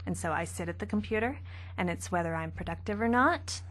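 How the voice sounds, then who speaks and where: A young woman author answering questions in a radio interview, soft-spoken with a gentle, light manner. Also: lowish voice, expressive, brisk. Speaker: author guest.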